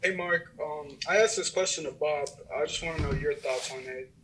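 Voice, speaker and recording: A man's voice: fairly high pitch, moderate pace, noisy background. A man, a sports reporter, putting direct, probing questions at a press conference. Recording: voice from far off the mic.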